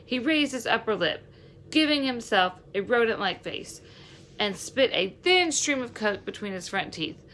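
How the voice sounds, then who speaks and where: A woman reading a children's novel aloud as a teacher would, with an expressive, instructive delivery. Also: fairly high pitch, unhurried, slight background noise, rough recording. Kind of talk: storybook read-aloud narration.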